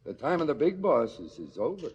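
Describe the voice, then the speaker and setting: Crooked cop for radio play: husky, animated delivery, smug, calculating, coolly menacing. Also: male voice, on the high side, normal speed, lo-fi sound, exaggerated voice. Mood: smug, commanding. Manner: coolly menacing, declamatory, clipped retorts. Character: smug, calculating corrupt policeman muscling in on racket. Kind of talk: stylised 1970s radio drama acting.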